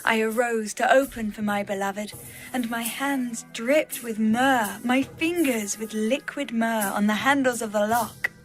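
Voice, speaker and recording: A woman's voice: fairly high pitch, unhurried, soft background music, close to an everyday voice. A woman playing a lovesick, yearning young bride in a poetic, tender dramatized scripture reading. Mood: lovesick, anguished. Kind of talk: dramatized audio Bible reading.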